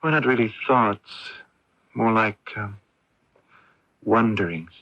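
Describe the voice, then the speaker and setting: Husky, troubled young son. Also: male voice, on the low side, slow, no echo. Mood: dreamy, pensive. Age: young adult. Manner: hesitant, wondering. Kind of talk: radio drama acting. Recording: muffled, dry AM radio tape.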